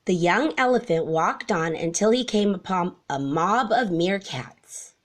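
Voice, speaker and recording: A woman narrates a children's storybook at a slow, careful pace. Her intonation rises and falls widely, giving the reading an expressive, animated feel. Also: lowish voice. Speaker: storybook reader.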